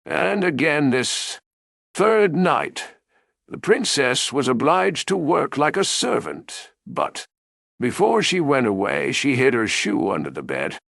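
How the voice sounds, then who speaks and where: A man narrating a bedtime fairy tale as a storyteller, in an expressive, deliberate way. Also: mid-range voice, creaky, dry recording, studio quality.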